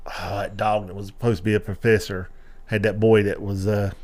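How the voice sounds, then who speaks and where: Lowish voiced guy on a podcast, tossing in quick nostalgic comments. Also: little pitch movement, a little mellow. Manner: chimes in with short nostalgic remarks. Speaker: podcast co-host. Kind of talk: casual chat.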